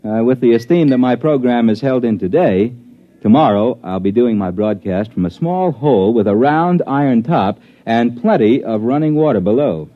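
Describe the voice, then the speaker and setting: An actor playing a hip, wisecracking jazz disc jockey who narrates a 1950s radio play in wry, wordy slang. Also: male voice, mid-range voice, expressive, normal speed, no echo, close to an everyday voice. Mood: droll, chummy. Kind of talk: 1950s radio drama acting. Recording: dull vintage 1950s radio, music behind.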